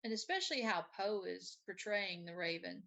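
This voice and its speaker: A woman co-hosting a casual chat, speaking in halting phrases that often trail off. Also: medium pitch, expressive, gravelly, fairly bright tone. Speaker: co-host.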